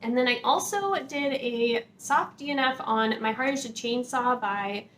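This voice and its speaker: A woman with a fairly high voice talks casually to her viewers as a book vlogger. Her delivery is informal and conversational, padded with filler words. There is noticeable background noise on the recording. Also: unhurried, fairly bright tone.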